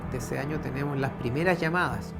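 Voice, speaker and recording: A man's voice: mid-range voice, a little fast, creaky, over loud music. Methodical male investigator. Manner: methodical, with fillers. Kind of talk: interview statement.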